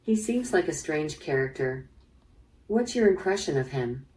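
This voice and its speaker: Female police interrogator: low, dark voice, businesslike and probing, radio-drama style, a bit off-mic. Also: big pitch swings, measured pace. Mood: probing, measured. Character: probing female police detective questioning a murder suspect. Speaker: voice actor in interactive audio drama. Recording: played through speaker, slightly distant.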